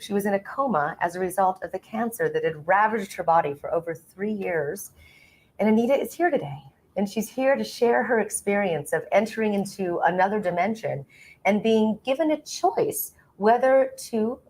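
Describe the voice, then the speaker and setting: A woman hosting an interview show, introducing her guest and asking about her life, with frequent encouraging interjections. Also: medium pitch. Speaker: talk show host. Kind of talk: studio interview.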